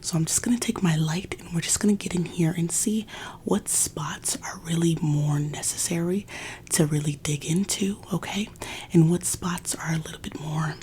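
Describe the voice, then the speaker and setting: A woman's voice: sits low, darkish tone. A woman whispering breathily and reassuringly in an ASMR roleplay as a massage therapist, close to a studio microphone. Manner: soothing, reassuring. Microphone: close studio condenser microphone.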